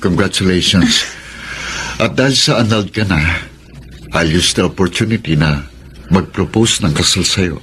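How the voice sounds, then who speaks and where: Deep voiced filipino lover guy in radio drama, earnest and romantic, bit of background noise. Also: normal speed, a bit bright. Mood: tender, hopeful. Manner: earnest, romantic, mixing English phrases. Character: earnest, devoted suitor, a divorced man in love.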